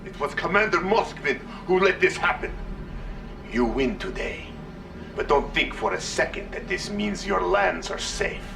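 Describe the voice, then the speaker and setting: An actor playing a boastful, blustering Soviet commander taunting the enemy as a video game villain. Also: male voice, high-pitched, measured pace, vocal fry, far from the mic, noisy background, full character voice. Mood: bitter, defiant. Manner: blustering, mocking.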